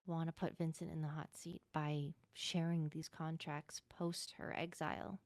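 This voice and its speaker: A woman's voice: deep voice, little pitch movement, a little slow, darkish tone, no room sound. A woman playing in an online roleplay, casually talking and asking questions in character. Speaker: roleplay gamer.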